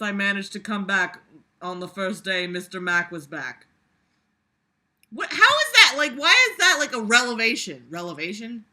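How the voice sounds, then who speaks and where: Bright-voiced, exasperated reader ranting about a book. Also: medium pitch. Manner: exasperated, sarcastic, profane; animated, breaks into mocking imitations. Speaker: host reading a book aloud and reacting to it. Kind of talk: casual reading aloud with ranting commentary.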